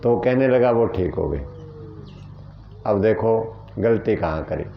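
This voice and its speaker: A man's voice: on the low side, expressive, takes it slowly, creaky, lo-fi sound, slight background noise. Earnest man teaching a natural health group. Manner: earnest, explaining plainly with rhetorical questions. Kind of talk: teaching talk answering questions.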